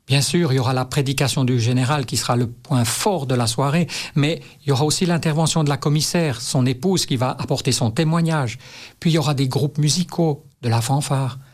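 Earnest male radio guest, mid-pitched, inviting tone. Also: a little mellow. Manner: earnest, promotional. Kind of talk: radio interview.

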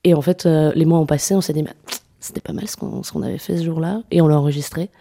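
Low, mellow-voiced young woman, soft and hesitant. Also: fairly even intonation, quick pace, vocal fry. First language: French. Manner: soft-spoken, hesitant, many fillers and restarts. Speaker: singer-songwriter guest. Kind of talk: radio interview conversation.

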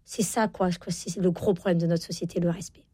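Fast, husky-voiced woman in her forties. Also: lowish voice, steady tone, warm tone, dry recording. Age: 40s. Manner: emphatic, repeats key phrases for insistence. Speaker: guest parenting expert and author. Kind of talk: interview talk, explaining and advising.

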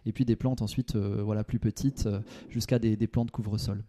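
A man's voice: fairly low pitch, fairly even intonation, a little fast, dark tone, slight background noise. A man speaking as an expert guest on a radio show, giving practical advice. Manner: advising, instructive. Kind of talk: explanatory interview answers.

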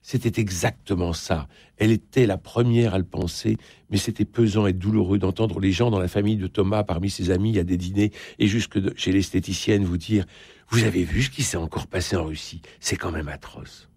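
Male literary host, low creaky voice, close dry sound, interviewing and reading aloud. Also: fairly even intonation, brisk, a little mellow, dry recording.

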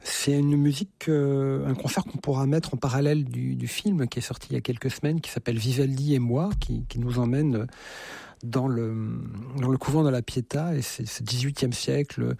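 A man with a gravelly, husky voice answers questions on a radio show, stopping often to search for words. His tone is dark and the sound is dry and close. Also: medium pitch, dry recording. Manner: hesitant, many fillers and repeated words. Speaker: conductor, festival guest. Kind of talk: radio interview.

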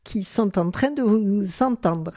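Woman, radio interviewer, slow pace, mellow tone, hesitant questions. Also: mid-range voice, expressive.

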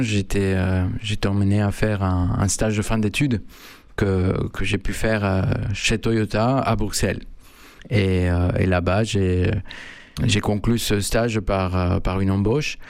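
Man, flat gravelly voice, halting answers, dry sound. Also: fairly low pitch, no room sound. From Mexico. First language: Spanish. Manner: halting, many fillers and restarts. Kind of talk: hesitant interview answers.

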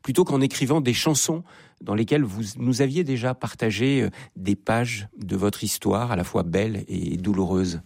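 Male interviewer; husky, polite, measured delivery. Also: fairly low pitch, a little mellow, no echo, studio quality. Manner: measured, polite, prompts with short acknowledgments. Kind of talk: formal interview questions.

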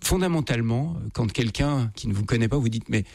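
A man hosting a radio interview, asking measured questions and giving short murmurs of agreement. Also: mid-range voice, lively intonation, gravelly, darkish tone, no echo, studio quality.

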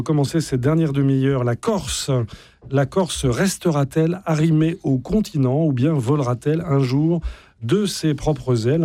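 A man's voice: medium pitch, mellow, dry recording. A man hosting a live radio debate, asking probing questions and rephrasing what is said. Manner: probing, rephrases guests' points.

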